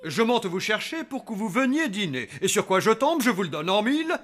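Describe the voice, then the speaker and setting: A man's voice: sits high, very animated, a little fast, a bit bright, full character voice. An actor voicing a zombie father who runs a hotel, scolding his kids with sarcasm. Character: zombie father, stern dad running a hotel.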